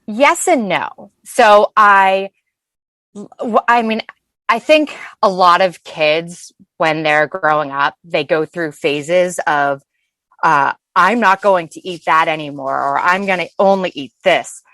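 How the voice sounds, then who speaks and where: A woman's voice: on the low side, slow pace, fairly bright tone, dry recording. An American woman from Massachusetts chatting casually as a podcast guest. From Massachusetts, United States. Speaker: podcast guest, food blogger. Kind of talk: casual chat. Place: closet.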